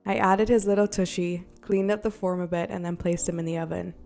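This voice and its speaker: Chatty woman with a slightly rough voice. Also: on the low side, little pitch movement, fairly quick. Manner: chatty and self-deprecating, with little laughs. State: voice still rough from illness. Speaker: polymer clay artist narrating a craft video. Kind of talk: friendly voice-over narration. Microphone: borrowed desk mic. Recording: soft background music.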